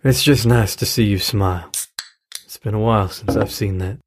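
A man's voice: fairly low pitch, moderate pace, lightly acted. A man playing an affectionate, easygoing middle-aged widowed father in an audio drama, speaking casually and tenderly. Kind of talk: naturalistic audio drama acting.

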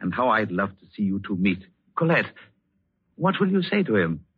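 A sneering, cruel husband, the villain of an old radio thriller. His rough, husky voice drips theatrical sarcasm and mockery on a dull, dry vintage recording. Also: lowish voice, very animated, moderate pace, no echo. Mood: gloating, mocking. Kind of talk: 1940s radio drama acting.

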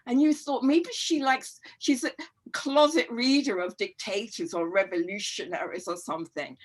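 Middle-aged female podcast guest; warm, reflective and rambling, with a casual conversational delivery. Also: on the high side, steady tone, normal speed.

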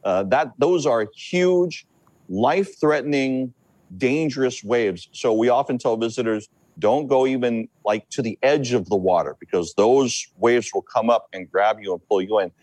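A man from Hawaii, a meteorologist, explaining the weather and big surf in a halting, repetitive way during a news interview. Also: medium pitch, darkish tone, no echo. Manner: halting, repeats words while explaining.

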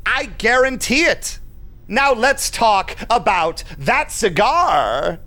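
A man's voice: high voice, expressive, takes it slowly, pushed and bright, heavily acted. A man playing a shady, condescending vampire businessman in an audio drama, with an unctuous, theatrical, confiding manner. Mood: smooth, assured. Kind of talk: audio drama acting with narrated asides.

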